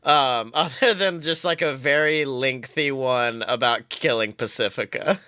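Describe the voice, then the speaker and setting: Male podcast host, bright and clean-toned, dry close sound, animated comic banter. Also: medium pitch, unhurried, no echo. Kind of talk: casual comedic podcast banter.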